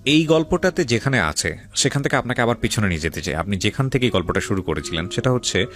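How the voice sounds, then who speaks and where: A man's voice: fairly low pitch, lively intonation, fast talker, a bit bright, faint music behind. A man with a Bangladeshi accent hosts a radio interview, mixing English phrases into his Bengali and steering with questions and recaps. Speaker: radio show host. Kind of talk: interviewing a guest about a life story.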